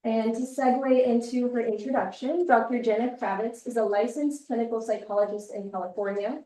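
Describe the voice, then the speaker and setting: A woman's voice: fairly high pitch, steady tone, brisk, mellow, slight background noise. A woman social worker hosting an event, upbeat and then reading a formal introduction of the speaker. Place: seminar room. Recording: roomy, from far off the mic.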